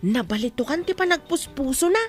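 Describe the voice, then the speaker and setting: A woman's voice: sits high, animated, brisk, faint music behind. An actress playing a gossiping village woman in a radio drama, confiding what she heard and saw.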